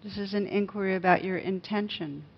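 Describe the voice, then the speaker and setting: A woman leading a guided meditation as a teacher, speaking gently and unhurriedly with pauses. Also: medium pitch, husky, lo-fi sound.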